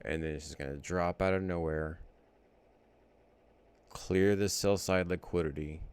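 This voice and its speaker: A man's voice: deep voice, steady tone, measured pace, a little mellow, smooth. A man casually thinking aloud as he walks through trading charts, rambling and correcting himself as he goes. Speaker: trading educator.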